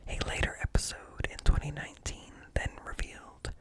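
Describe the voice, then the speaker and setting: A man reading aloud in a hushed ASMR whisper, close to the microphone, pausing between short phrases. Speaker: ASMR creator reading encyclopedia articles aloud. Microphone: close-up microphone with ear-to-ear stereo.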